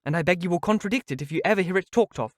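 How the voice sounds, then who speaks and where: A silly, simpering young woman, put on by a male narrator, high and fluttering in a storybook reading. She protests with affected earnestness, her pitch leaping well above the reader's own. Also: rapid-fire, dry recording, clean studio sound, lightly acted.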